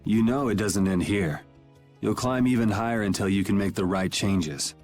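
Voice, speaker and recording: Man acting a low-voiced, wry young rebel. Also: normal speed, darkish tone, soft background music, natural voice. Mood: cool, supportive. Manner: casual, wry, dry. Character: laid-back, sardonic young male rebel swordsman. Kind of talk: video game voice acting.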